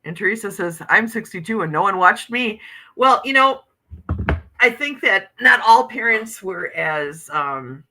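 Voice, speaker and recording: A woman's voice: medium pitch, lively intonation, a little slow, sharp tone. A woman in her fifties hosting a casual livestream, chatting and laughing as she reads out viewer comments. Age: 50s. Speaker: livestream host.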